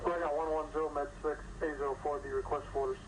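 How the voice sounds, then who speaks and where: Over noisy radio audio, a man plays a male ambulance medic in a staged emergency. His fairly high voice stays flat and businesslike, delivering clipped procedural reports. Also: fast talker, lightly acted. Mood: businesslike, focused.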